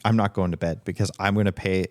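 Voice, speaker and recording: A man chatting on a podcast with wry, self-deprecating asides. His voice is very deep and he talks fast. The sound is dry and close. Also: fairly even intonation, no echo. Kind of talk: casual podcast chat.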